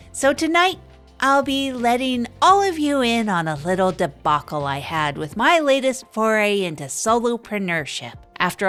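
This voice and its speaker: A woman's voice: medium pitch, fairly bright tone. A woman playing a hapless, earnest evening radio host in an improvised comedy audio drama, rambling and correcting herself as she goes. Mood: upbeat, rambling.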